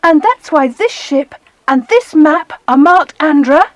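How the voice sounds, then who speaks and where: A woman's voice: high voice, animated, a little slow, no echo, highs cut off. A woman playing a timid, earnest little girl in an audiobook adventure, with a breathless, anxious delivery. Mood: excited. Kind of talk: dramatised audiobook acting.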